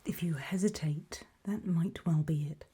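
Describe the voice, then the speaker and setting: A woman voicing a calm, ominous gatekeeper in a hushed, breathy ASMR roleplay, speaking mostly in deliberate whispers with pauses. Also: on the low side. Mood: grave, hushed. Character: calm, ominous female gatekeeper briefing travelers. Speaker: ASMR performer.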